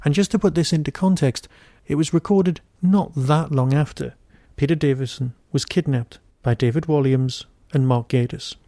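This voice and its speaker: A man hosting a podcast, giving an informal solo review in a wry, digressive way with emphatic pauses. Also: fairly high pitch, warm tone, dry recording, studio quality.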